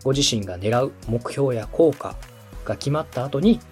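A man's voice: mid-range voice, expressive, moderate pace, soft background music. A man hosting a podcast, explaining step by step in a polite, instructive coaching style. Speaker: podcast host and radio director giving advice.

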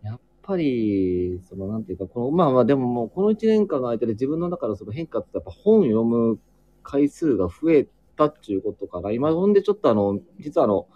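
A man in casual, reflective group chat, speaking haltingly as he searches for his words. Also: medium pitch, sing-song, no echo, muffled recording. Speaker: acting student, guest in a group chat.

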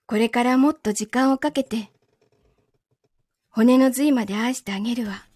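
A woman playing a possessive lover in a voice drama, speaking gently and calmly with quiet menace. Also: on the high side, no room sound, clean studio sound, close to an everyday voice. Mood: sweetly possessive. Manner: soft, gentle, unhurried delivery without shouting. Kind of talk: Japanese voice drama acting.

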